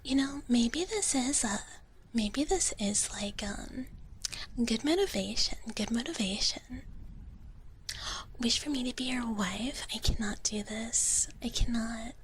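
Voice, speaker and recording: Slow, softly whispering ASMR woman. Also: fairly high pitch, poor audio. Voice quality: soft, breathy whisper. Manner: playful, in character as a gentle wish dragon, often speaking of herself in third person. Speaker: live streamer, host. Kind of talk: whispered ASMR, casual chat with viewers. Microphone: close ASMR microphone. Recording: clearly audible background noise.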